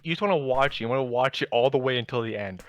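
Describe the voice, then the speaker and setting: A man's voice: mid-range voice, racing, slight background noise. Enthusiastic rambling man in casual podcast chat. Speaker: podcast co-host. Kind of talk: casual chat about anime.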